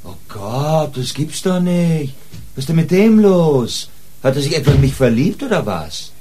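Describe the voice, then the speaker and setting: An actor playing a streetwise, defiant small-time police informant in a radio play, talking in a flippant, slangy way. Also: male voice, fairly high pitch, sing-song, measured pace, dark tone, husky, exaggerated voice. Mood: mock astonished.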